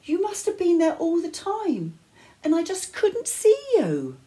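Storybook young girl played by a woman: tender, heartfelt, wonder-filled, lively pitch. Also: a little slow, heavily acted. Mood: joyful relief.

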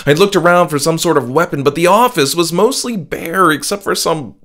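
A man's voice: on the high side, a little fast, a bit bright, voice pushed up, natural voice. A man voicing a frightened, injured male friend who speaks in agitated, insistent protest in a horror audiobook. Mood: tense recounting. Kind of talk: horror audiobook character dialogue.

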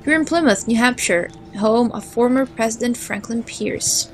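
A woman's voice: a little high, moderate pace, close to an everyday voice. A woman reading out a blunt small-town innkeeper's lines in her own everyday voice. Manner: read plainly in her own voice.

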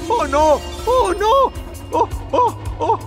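A man with a Latin American accent voicing a vain, excitable, melodramatic steam engine in a children's cartoon dub. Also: extremely high pitch, very slow, full character voice. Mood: panicked. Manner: showy, melodramatic. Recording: music behind the voice.

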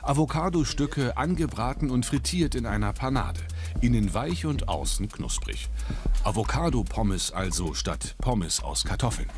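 A man's voice: medium pitch, very animated, normal speed. A man narrating a scripted magazine report as a television voice-over.

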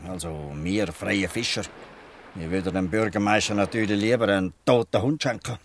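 An actor with a Swiss German accent playing a weary, good-natured old fisherman who explains things haltingly in a dialect audio drama. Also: on the low side, a little hiss or hum, close to an everyday voice. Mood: wry, resigned.

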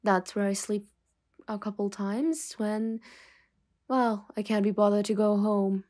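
A woman playing a stern but softening mafia boss in an intimate ASMR roleplay, speaking in measured phrases with pauses, often nearly whispering. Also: mid-range voice, fairly even intonation, natural voice. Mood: calm, reassuring.

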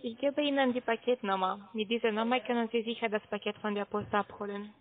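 High-voiced businesslike woman on the phone. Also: fairly even intonation, brisk. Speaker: call centre agent. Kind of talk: phone delivery notification. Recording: narrow telephone line.

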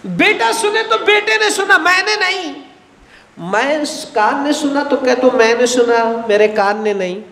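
Animated high-voiced male cleric. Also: measured pace, roomy sound, long reverb tail. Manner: animated, gestures widely, acts out everyday dialogues. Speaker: Shia cleric lecturing. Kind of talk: religious lecture. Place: studio with a blue backdrop, indoors. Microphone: headset mic.

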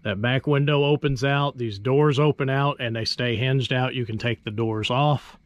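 A man's voice: medium pitch, moderate pace. A man hosting a farm video, casually explaining tractor features in a plainspoken, folksy way and thinking aloud as he goes.

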